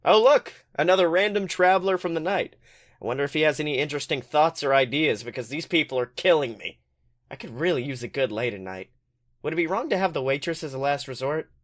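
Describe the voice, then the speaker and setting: A man's voice: fairly high pitch, expressive, fast talker, close to an everyday voice. A man playing a smug, condescending younger guy. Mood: bored, vain.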